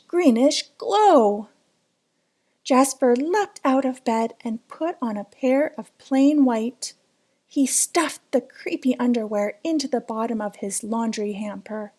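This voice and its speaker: Female children's storybook reader, higher register, unhurried, dramatic and suspenseful. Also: mellow. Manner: dramatic, suspenseful delivery with drawn-out words. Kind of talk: children's picture book read-aloud.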